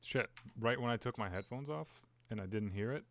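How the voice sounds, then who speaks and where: A man's voice: medium pitch, normal speed, vocal fry, fairly bright tone. A young man streaming a VR game, chatting casually into his headset mic, rambling with lots of "like" and "uh". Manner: rambling, fills talk with "like" and "uh". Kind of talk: casual live-stream chat.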